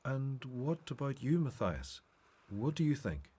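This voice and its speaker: Man acting gentle prophet-teacher, husky, widely varied pitch, assured, earnest, radio play. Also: very animated, a little slow. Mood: gentle, caring. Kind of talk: radio Bible drama acting.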